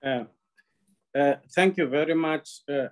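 A man's voice: on the high side, unhurried. A middle-aged man with a South African accent, moderating a panel and introducing speakers with polite hesitation over a video call. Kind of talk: formal introduction. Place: indoor room, lounge. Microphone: video call webcam.

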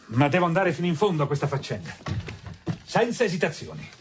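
An actor playing a stern, unforgiving middle-aged male lawyer in a radio drama, husky and formally emphatic. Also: on the high side, expressive, quick pace, heavy background noise, lightly acted. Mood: cold, determined.

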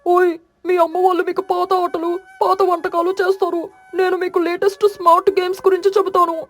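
A woman's voice: very high-pitched, flat delivery, brisk, soft background music, pitched above the performer's own voice. A woman doing a bragging little boy crow.

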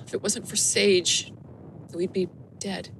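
An actress playing an anxious but level-headed daughter in an audio drama, pleading and exasperated. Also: female voice, fairly low pitch, flat delivery, takes it slowly, vocal fry, a bit bright, a little hiss or hum, exaggerated voice. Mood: grieving.

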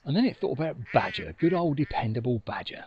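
An older man telling a story conversationally and unhurriedly, with dramatic pauses, outdoors on a lapel mic. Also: mid-range voice, sing-song, dull audio, slight background noise. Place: outdoors by a river in open countryside. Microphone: lapel mic with furry windshield.

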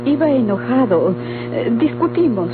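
Old tinny radionovela, high voiced mexican daughter, melodramatic, pleading but defiant. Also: female voice, sing-song, normal speed. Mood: weary, firm. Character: anguished, defiant daughter of a rural Mexican family. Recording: tinny, humming old radio recording with music.

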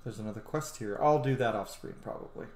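A man's voice: medium pitch, quick pace, darkish tone. A chatty, gushing man in his thirties giving casual commentary as he hosts a game playthrough. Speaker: gaming host. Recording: roomy sound with faint noise.

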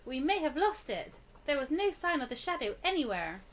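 A woman's voice: sits high, very animated, moderate pace, slight background noise. A woman voicing the frightened young protagonist of a horror visual novel, dramatic and anxious. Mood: tense.

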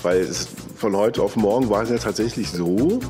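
A man in casual interview talk, halting and rephrasing as he goes. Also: fairly low pitch. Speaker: interviewee, techno scene witness. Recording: music bed behind voice. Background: music.

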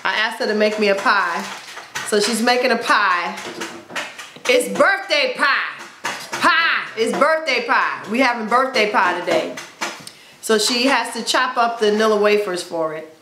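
A woman's voice: fairly high pitch, animated, a little slow, echoey room, noisy background. A woman in her forties chatting to camera at home as a vlogger, upbeat and playful, exclaiming often. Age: 40s. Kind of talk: casual chat to camera. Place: indoors at home, plain wall. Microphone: camera in front of her.

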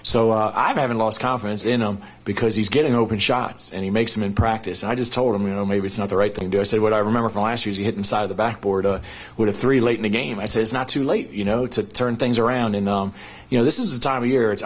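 A middle-aged man coaching basketball, speaking quickly in a fairly low voice at an arena press conference. He answers questions through a table microphone, running his thoughts together with a lot of "you know" and restarts. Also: fairly even intonation, husky. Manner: rapid, with frequent "you know" fillers and restarts. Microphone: table microphone on a stand.

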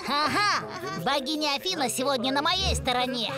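Crafty child market seller, high, lively, wheedling cartoon delivery over music. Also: female voice, normal speed. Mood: wheedling, eager. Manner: wheedling, theatrical. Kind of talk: dubbed cartoon acting.

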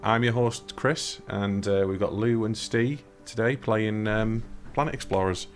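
A man hosting a co-op game stream in casual chat, chatty and quick to laugh at his own mistakes, on a headset with faint game music behind. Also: lowish voice, steady tone. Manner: chatty, easily baffled, laughs at himself. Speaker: host.

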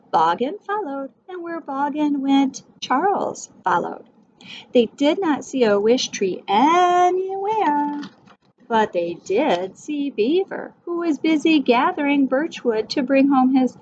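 A middle-aged woman teacher reading a storybook aloud to young children, expressive and addressing them directly. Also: high-pitched, slow. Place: small indoor room with whiteboard. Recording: dull sound, faint background noise.

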